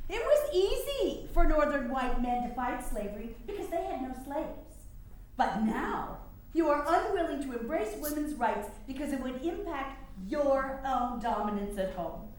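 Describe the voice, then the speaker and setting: A woman's voice: high-pitched, exaggerated voice. A woman acting a defiant, indignant nineteenth-century suffrage campaigner in a theatrical staged reading, challenging and emphatic in her delivery. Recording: very echoey hall, faint background noise.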